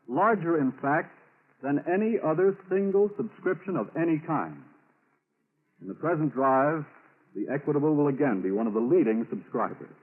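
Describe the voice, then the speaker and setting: A man reading scripted announcements in a formal, measured, emphatic 1940s radio style. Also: medium pitch. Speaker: radio announcer and sponsor spokesman. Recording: narrow-band 1940s radio recording.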